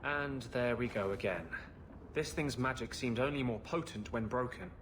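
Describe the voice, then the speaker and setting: A man's voice: medium pitch, moderate pace, background noise, natural voice. An actor playing a brooding, sardonic male companion in a video game. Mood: grave. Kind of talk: video game cutscene acting.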